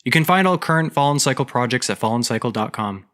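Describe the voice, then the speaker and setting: A man hosting his own podcast, narrating a story and giving announcements in a steady, measured way. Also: medium pitch. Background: background music.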